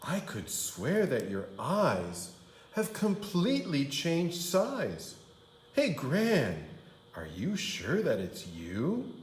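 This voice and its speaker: A man's voice: on the high side, wildly swooping pitch, very slow, echoey room, voice pushed up, exaggerated voice. A man voicing a puzzled, suspicious young storybook girl with a wide-eyed, questioning, emphatic manner.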